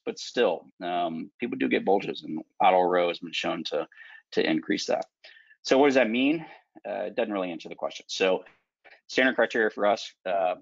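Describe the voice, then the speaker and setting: Middle-aged male surgeon lecturing over video call, low gravelly voice, frank and chatty with fillers, dry muffled sound. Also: no echo. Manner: frank, conversational, many fillers. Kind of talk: recorded lecture with slides. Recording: dull lo-fi video call audio.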